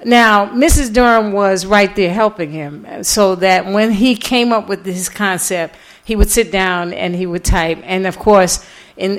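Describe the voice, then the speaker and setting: A woman giving a public lecture as an author, telling the story in an emphatic, deliberate way with repeated phrases. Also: mid-range voice. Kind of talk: lecture with audience questions. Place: public lecture event, live before an audience.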